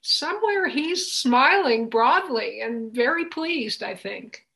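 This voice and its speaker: A woman's voice: high voice, expressive, slow pace, creaky, sharp tone, poor audio. A woman speaking as a guest in a conversational interview about her own work. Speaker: interview guest, literary translator.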